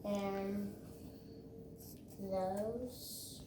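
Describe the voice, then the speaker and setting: A female reader going through scripture very haltingly, word by word. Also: mid-range voice, steady tone. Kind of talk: reading scripture aloud with prompting. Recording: distant, echoey, noisy room.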